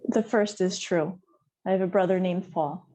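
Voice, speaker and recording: A soft-spoken woman from New York speaking plainly on a group video call. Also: on the low side, moderate pace. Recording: dull lo-fi call audio.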